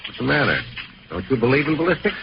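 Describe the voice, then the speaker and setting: An actor playing a hard, impatient American police interrogator in an old radio crime drama, curt, suspicious and badgering. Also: male voice, medium pitch, big pitch swings, fast, gravelly. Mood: brooding, frustrated. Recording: muffled, noisy vintage radio recording.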